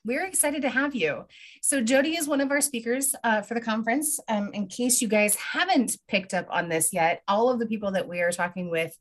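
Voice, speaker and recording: A woman's voice: on the high side, fairly quick. Upbeat woman podcast co-host. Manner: friendly, laughs, upbeat. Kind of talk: casual chat, interview.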